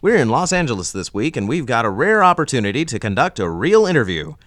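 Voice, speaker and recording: A man's voice: mid-range voice, big pitch swings, fast talker, fairly bright tone, no room sound, clean studio sound. A man hosting a comic podcast interview. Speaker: podcast host.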